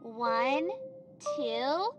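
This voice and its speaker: A woman's voice: on the high side, muffled recording, over music. A woman voicing a young cartoon girl who hosts a children's show in a video game, talking to viewers in a singsong way. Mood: instructive, bright. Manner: singsong, slow counting, addressing young viewers.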